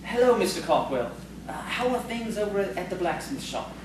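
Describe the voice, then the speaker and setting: A man plays an easily frightened, lovesick schoolteacher in a radio play. He stammers politely and hesitates. The sound is very echoey and far from the mic, with clear noise. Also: high-pitched, sing-song, fairly quick. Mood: flustered, polite. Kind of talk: audio theatre acting.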